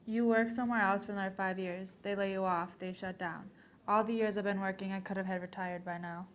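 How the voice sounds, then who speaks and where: A woman's voice: medium pitch, steady tone, a little fast, a little hiss or hum, close to an everyday voice. A young woman performing a scripted readers theater piece, reading aloud with occasional stumbles. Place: college library event. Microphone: worn microphone.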